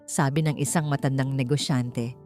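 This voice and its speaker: A woman narrating an audiobook romance story, speaking in an expressive storytelling manner that climbs higher at dramatic moments. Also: moderate pace. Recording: faint background music.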